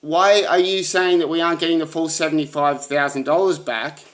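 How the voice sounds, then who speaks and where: A man's voice: a little high, fairly even intonation, brisk, a bit bright. A man pressing his point in a heated argument, frustrated and repeating himself. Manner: insistent, frustrated, repetitive.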